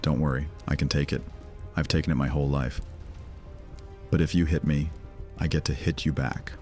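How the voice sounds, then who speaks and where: A man's voice: very deep voice, fairly even intonation, normal speed, a little mellow, soft background music. An actor playing a menacing, mocking male vampire lord who speaks with a sneering, threatening manner. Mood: taunting. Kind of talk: audio drama roleplay.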